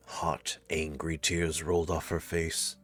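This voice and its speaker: A woman's voice: extremely low pitch, little pitch movement, takes it slowly, faint music behind. A woman narrating a visual novel in a scripted storytelling style.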